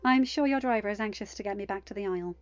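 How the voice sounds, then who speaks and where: A woman's voice: medium pitch, rapid-fire, clean tone, no room sound, lo-fi sound, soft background music, lightly acted. A woman voicing an imperious, protective goddess mother in a storybook, speaking with emphatic, threatening formality. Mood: gracious.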